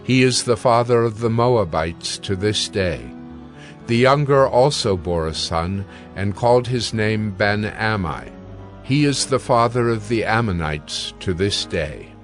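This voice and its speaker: A man narrating scripture from a script in a measured, solemn manner. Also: on the low side, animated, faint music behind.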